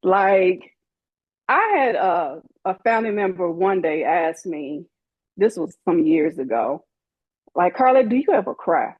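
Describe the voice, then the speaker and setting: A woman's voice: lowish voice, big pitch swings, measured pace, breathy edge, no echo, dull audio. A woman in her forties, a guest in an interview, speaking candidly in a sassy, emphatic way and acting out the people she quotes. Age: 40s.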